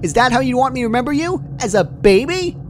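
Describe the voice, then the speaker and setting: A man's voice: very high-pitched, sing-song, fast, voice pushed up. A man voicing a cheerful, childishly chatty, menacing female pony in a perky, scolding manner, reading a story aloud. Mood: pouty, scolding. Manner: perky, scolding, sing-song matter-of-fact chatter. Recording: clearly noisy home recording.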